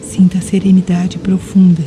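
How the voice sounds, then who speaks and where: A Brazilian woman narrating a guided meditation in a deliberate, soothing way, leaving pauses between phrases. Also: fairly low pitch, little pitch movement, mellow, slight background noise, poor audio. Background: music with rain and thunder sounds.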